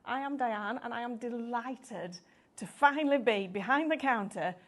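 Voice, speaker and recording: A woman presenting a live craft shopping show, chatty and upbeat, explaining things step by step, her voice a little croaky. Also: on the high side, moderate pace. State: slightly croaky voice.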